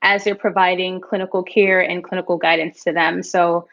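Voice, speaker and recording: A woman's voice: lowish voice, little pitch movement, normal speed, creaky, fairly bright tone. Female founder from New York City, earnest long answers, muffled lo-fi line. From New York City, the Bronx. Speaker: startup founder and guest. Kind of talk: podcast interview.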